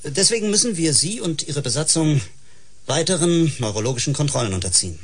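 An actor playing a stern, exasperated male clinic doctor in a science-fiction radio drama, with a husky voice. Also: on the high side, animated, fairly quick. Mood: concerned.

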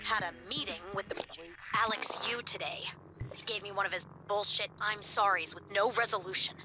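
A woman's voice: mid-range voice, moderate pace, loud music behind the voice, close to an everyday voice, rough recording. A woman playing a blunt, irritable space station crew member in a video game. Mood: irritated.

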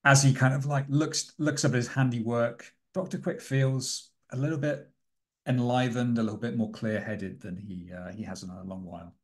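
A man's voice: medium pitch, fairly even intonation, normal speed. A man playing a tabletop role-playing game, improvising his character's actions in casual, thoughtful narration.

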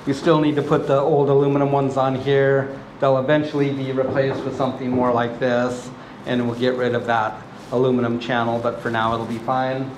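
A man's voice: medium pitch, normal speed. Easygoing man in an echoey boat hold. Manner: relaxed, plainspoken, lots of ums and uhs. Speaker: boat owner showing a refit. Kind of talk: casual walkthrough video. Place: inside a fiberglass boat hold and on deck. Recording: echoey hull with faint background noise.

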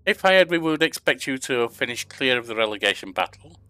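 Older man, bright voice, game commentary. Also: medium pitch, big pitch swings, brisk, smooth, no echo. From England. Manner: reads interview questions aloud and answers them himself. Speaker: gaming YouTuber. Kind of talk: casual let's-play commentary. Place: home room at a desk.

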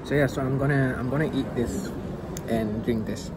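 A man's voice: medium pitch, fairly even intonation, moderate pace. A young man in his twenties with a Malaysian accent, chatting casually to a phone camera in a car and on night streets, mixing English and Malay. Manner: chatty, switching between English and Malay mid-sentence. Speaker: vlogger. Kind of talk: casual vlog narration. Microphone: handheld phone camera. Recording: loud street and car noise behind.

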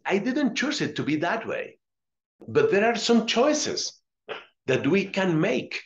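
A middle-aged man from Colombia with a Spanish accent, a friar teaching a religious lesson, reading aloud and explaining deliberately, repeating phrases for emphasis. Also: high-pitched, sing-song, lo-fi sound. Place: small indoor room with curtains.

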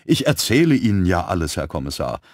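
A man voicing a brisk, frank young woman who works as a police typist. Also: low-pitched, very animated, dry recording, studio quality. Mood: lively.

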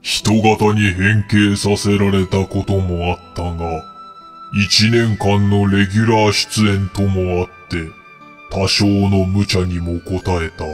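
Pompous wish-granting dragon, played by a male actor, low and unhurried, world-weary, comedic audio drama with music behind. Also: little pitch movement. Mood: solemn, stately. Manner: slow, pompous, formal pronouncements.

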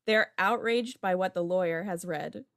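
A woman's voice: fairly low pitch, moderate pace, fairly bright tone, dry recording, clean studio sound. A woman reading stage directions as scripted narration for a table read. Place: home room, video call.

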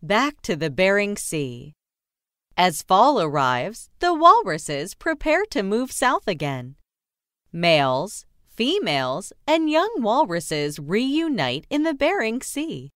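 Woman, children's book narrator, very lively intonation, dry close sound. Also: mid-range voice, takes it slowly, no room sound. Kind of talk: scripted read-aloud narration of a children's nonfiction book.

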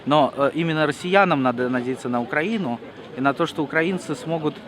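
A man, a journalist from Russia, giving a slow, thoughtful outdoor interview with hesitant pauses. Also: on the high side. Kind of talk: reflective street interview. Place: outdoor city square at a memorial gathering. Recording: outdoor crowd noise behind.

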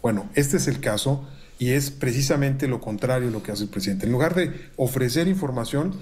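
A middle-aged Mexican man, a university researcher, giving measured, formal analysis from a room at home over a video call, with frequent filler pauses. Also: mid-range voice, a little mellow. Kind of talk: analytical commentary. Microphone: video call webcam.

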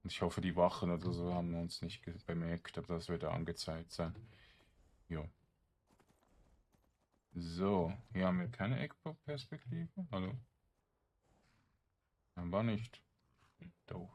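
A man's voice: low-pitched, unhurried, pauses often, a little mellow. A man giving casual gameplay commentary, thinking aloud in short, loose fragments. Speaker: gamer streaming a let's play.

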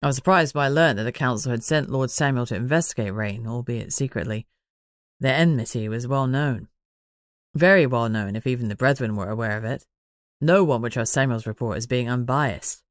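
A woman voicing a calm, teasing man in an audiobook, measured and matter-of-fact. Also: a bass voice, no room sound, clean studio sound, pitched below the performer's own voice. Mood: measured, thoughtful. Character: calm teasing man, werewolf packmate and investigator.

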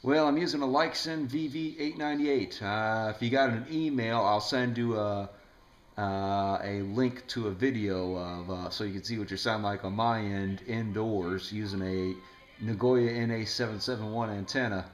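A man with a low-pitched voice talks in a casual, conversational way as an amateur radio operator. He is indoors at home, chatting with another operator about gear. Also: fairly even intonation, normal speed, background noise. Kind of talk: casual two-way radio chat.

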